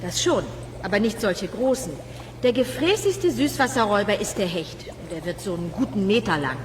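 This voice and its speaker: A woman's voice: medium pitch, animated, normal speed, in a large hall, noisy background, close to an everyday voice. A woman playing a matter-of-fact, knowledgeable fish expert in a children's radio play, explaining firmly. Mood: firm, instructive. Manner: firm, explanatory.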